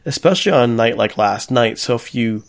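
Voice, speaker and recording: A man's voice: medium pitch, normal speed, vocal fry. Podcast host, man, mid-range voice, easy conversational delivery, long rambling questions. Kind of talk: casual podcast chat.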